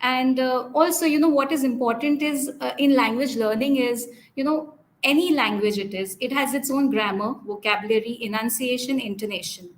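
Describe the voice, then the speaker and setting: This is a woman speaking as a guest on a panel. Her voice sits fairly high. She explains in a measured, articulate way, with the odd filler. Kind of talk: unscripted conversational answers.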